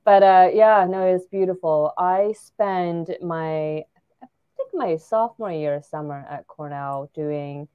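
Low-voiced woman, reflective interview guest. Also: slow pace, clear, resonant tone, no room sound, phone-quality sound. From China. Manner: thoughtful, with many fillers and restarts. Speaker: guest, startup founder. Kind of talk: casual interview conversation.

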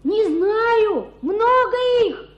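A woman's voice: very high-pitched, lively intonation, very slow, heavily acted. An actress playing a distraught village woman in a Russian radio play, crying out in folk speech. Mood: alarmed. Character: distraught village woman bringing alarming news, lamenting. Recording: dull, echoey, noisy radio drama recording.

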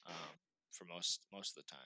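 A young man from Boston, a podcast guest in casual chat, animated and quick to laugh. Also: deep voice, dry recording. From Boston, Massachusetts. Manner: animated storyteller, laughs often.

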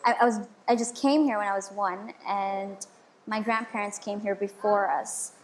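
A woman's voice: mid-range voice, steady tone, a little hiss or hum, low-quality recording. A woman in her twenties, from the Philippines and raised in the Middle East, chatting as a podcast guest, full of likes and restarts. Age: 20s. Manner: chatty, with many filler likes and quick restarts. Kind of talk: casual interview conversation.

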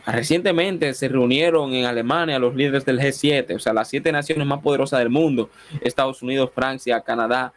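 A man's voice: mid-range voice, dry recording. Dominican man preaching on radio. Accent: Dominican Spanish. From Dominican Republic. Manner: fervent preaching with exclamations like amen and glory to God; leads a repeat-after-me prayer in a slow, solemn cadence. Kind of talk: sermon and closing prayer on a radio show.

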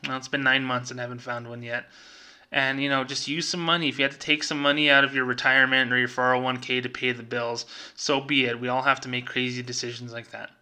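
A man's voice: medium pitch, level tone, quick pace, bright, forceful tone, smooth. A middle-aged man speaking as a vlogger, telling a personal story in a casual, conversational way and acting out remembered dialogue.

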